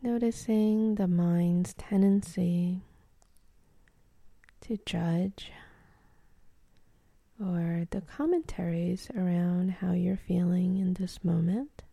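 A woman leading a guided meditation in a soft, calm, half-whispered voice with long pauses between phrases. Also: lowish voice, creaky, no room sound.

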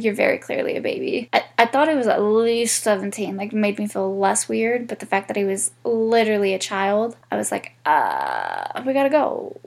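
A woman's voice: mid-range voice, vocal fry. Congested woman vlogger, candid and rambling. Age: 20s. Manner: candid, swears freely, rambling. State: congested, stuffy nose. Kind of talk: casual chat to camera.

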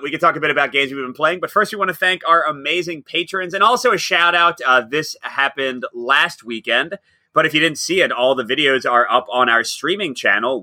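A man's voice: medium pitch, pushed and bright, no room sound. A man co-hosting a casual podcast, upbeat and teasing, laughing often. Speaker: podcast co-host.

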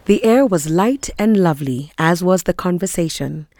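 A woman's voice: on the low side, expressive, normal speed, a little mellow, no echo, studio quality. A woman reading scripted narration from a novel.

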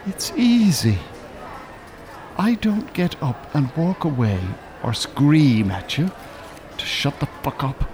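A man's voice: on the high side, sing-song, slow, a little mellow. An actor playing a bookish, irritable older man in a radio drama, articulate, polite and drily sarcastic. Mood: exasperated. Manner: polite, dry, exasperated, articulate. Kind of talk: naturalistic radio drama acting. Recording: urban ambience, faint background noise. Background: shopping centre ambience.

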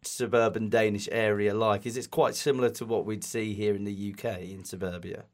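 A man's voice: fairly low pitch, fairly even intonation, fairly quick, no echo. A man hosting a podcast interview, chatty and a little self-deprecating. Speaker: podcast host. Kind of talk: casual interview chat.

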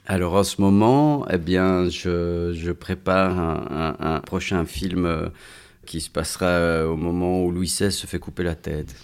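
A Belgian man in his forties with a low, deep voice. He talks in a relaxed, chatty way, restarting his phrases often and dropping in a steady "ouais". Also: unhurried, clean tone. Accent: Belgian French. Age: 40s. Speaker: guest. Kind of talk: casual chat.

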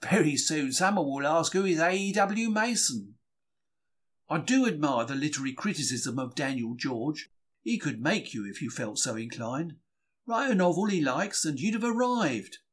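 Animated Englishman reading a wry diary. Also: on the high side, moderate pace. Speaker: diary reader. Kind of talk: dramatic first-person diary reading.

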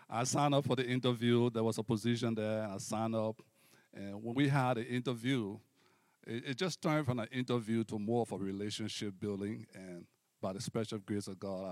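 A middle-aged Liberian-accented man preaches in a fairly low voice, with a close, dry sound. His phrasing is deliberate and devout, like a guest preacher addressing a church. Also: a little mellow, no echo. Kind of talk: personal testimony and sermon to a congregation.

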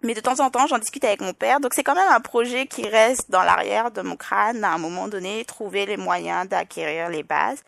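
A woman's voice: medium pitch, normal speed, creaky, hardly any pauses, a bit bright, no echo. A young adult woman with a Parisian French accent, speaking thoughtfully as a podcast guest, with frequent restarts and hesitations. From Île-de-France, France. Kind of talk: reflective personal interview.

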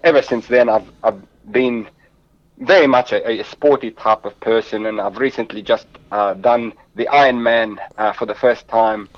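A man's voice: medium pitch, no echo, dull audio. Earnest man with an Arabic accent. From Lebanon. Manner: earnest, warm storytelling with frequent restarts. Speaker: guest. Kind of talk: interview answers.

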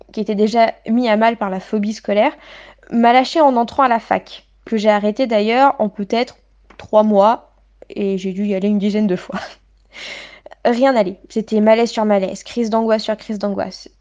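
A woman's voice: medium pitch, fairly even intonation, moderate pace, darkish tone, dull audio. A woman in her late twenties giving articulate, literary, self-deprecating personal testimony as a guest in a radio interview.

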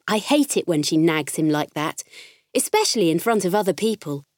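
A woman playing a spirited, candid farm girl, narrating her diary in an expressive, conversational audiobook style. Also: lowish voice, normal speed, dry recording, studio quality, natural voice. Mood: mildly irritated.

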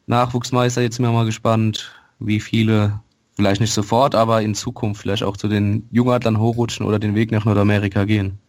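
A man's voice: fairly low pitch, no echo. A man co-hosting a casual sports podcast, matter-of-fact and hesitant, with many fillers. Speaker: podcast co-host.

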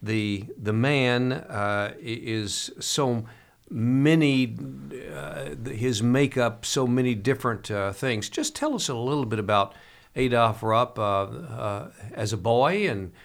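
A man hosting an interview in an ordinary mid-range voice. He speaks conversationally and haltingly, with many fillers and restarts. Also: mid-range voice. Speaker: interview host.

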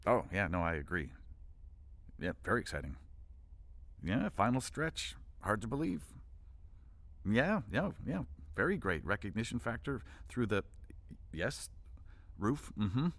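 A man's voice: on the low side, very animated, measured pace, long pauses, fairly bright tone, dry recording, heavily acted. An actor playing a flustered, over-polite event organizer in a satirical audio drama, stammering and eager to please while hiding his exasperation. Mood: nervously agreeable.

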